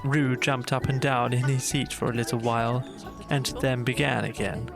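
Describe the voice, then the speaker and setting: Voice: mid-range voice, little pitch movement, normal speed, gravelly, music in the background. A storyteller reading a scripted children's bedtime story as an audiobook narrator.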